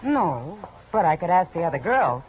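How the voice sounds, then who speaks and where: Easygoing, lively-voiced frontier saloon woman. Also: fairly low pitch, normal speed, a little hiss or hum. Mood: friendly, curious. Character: friendly, familiar saloon woman in a Western. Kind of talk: 1950s radio drama acting. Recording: dull old radio recording.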